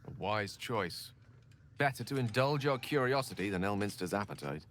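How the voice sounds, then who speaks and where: A man's voice: fairly low pitch, expressive, moderate pace, a bit bright, a little hiss or hum. An actor playing an urbane, impatient younger male wizard in a video game, articulate and wry. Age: young adult. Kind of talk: video game character acting.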